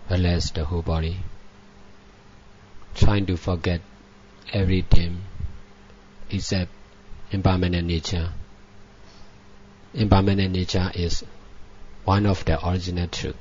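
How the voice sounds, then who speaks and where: A man who is a native Burmese speaker gives guided meditation as a Buddhist teacher, in measured, repetitive short phrases with frequent pauses. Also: deep voice, a little mellow, a little hiss or hum. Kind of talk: guided meditation, spoken dhamma instruction. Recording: dull lo-fi recording.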